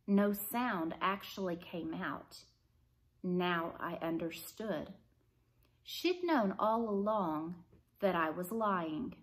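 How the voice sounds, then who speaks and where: Female story reader, unhurried and mid-pitched, expressive scripted narration for a read-aloud.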